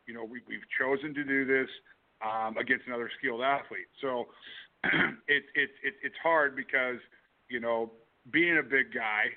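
An excitable man rambles through a casual talk-show chat, stumbling and restarting sentences as he goes. His mid-pitched voice comes through a narrow, phone-like line. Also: normal speed. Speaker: co-host, fighter.

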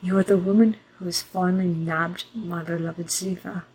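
An actress playing an elderly grandmother and former resistance fighter, reading out her own letter with steady conviction. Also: on the low side, moderate pace, slightly rough, low-quality recording. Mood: warm. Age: older. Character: elderly grandmother, war veteran, resolute. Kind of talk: audio drama acting.

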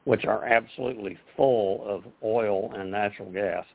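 Hoarse man on a tinny phone line. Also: lowish voice, steady tone, normal speed. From Texas and Oklahoma. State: hoarse, losing his voice. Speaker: guest journalist. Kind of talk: talk radio interview.

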